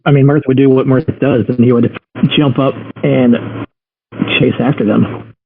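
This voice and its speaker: A husky-voiced man playing a tabletop game, casually role-playing over muffled, phone-like voice chat. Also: medium pitch, steady tone, normal speed. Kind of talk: casual in-character role play.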